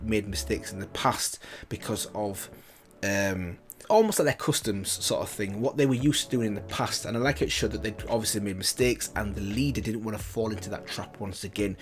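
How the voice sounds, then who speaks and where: A man's voice: lowish voice, brisk. A man hosting a reaction video, giving casual review commentary in a rambling way, often rephrasing himself.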